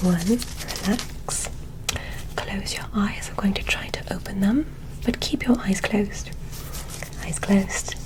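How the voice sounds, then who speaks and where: Slow, whispering woman doing ASMR roleplay. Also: medium pitch, rough recording. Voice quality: breathy whisper. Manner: gentle, careful, encouraging instructions. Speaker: ASMR performer role-playing an alien medical examiner.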